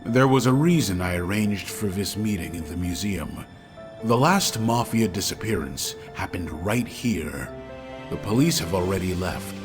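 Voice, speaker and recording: An actor playing a polite, businesslike gentleman client in a video game cutscene, speaking formally. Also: fairly low pitch. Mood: brisk, polite. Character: courteous gentleman commissioning a journalist to investigate.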